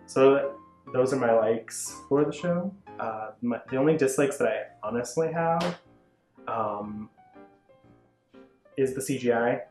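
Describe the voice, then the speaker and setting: A woman with a strikingly low voice shares her views in a casual fan review. She explains herself earnestly, softening points as she goes. The room is echoey, with faint music underneath. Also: slow pace, pauses often. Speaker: review show panelist. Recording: roomy echo, faint background music.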